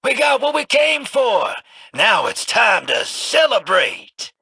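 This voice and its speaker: A man's voice: extremely high pitch, huge pitch swings, slow, background noise, exaggerated voice. An actor playing a swaggering, brash male pirate captain. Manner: brash, gruff.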